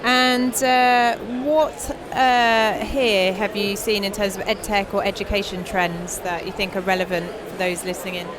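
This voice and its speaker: Female podcast interviewer, mid-pitched, casual on-location questioning, with a noisy conference venue behind her. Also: steady tone, unhurried, hardly any pauses. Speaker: podcast host interviewing guests. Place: busy tech conference venue. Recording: noisy event floor, constant background din.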